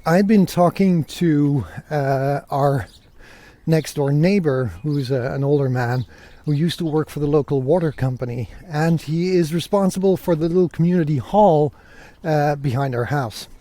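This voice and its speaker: A man's voice: fairly high pitch, moderate pace, mellow. A man hosting a solo podcast, telling stories in a casual, conversational way. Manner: conversational, acts out remembered dialogue. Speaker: podcast host.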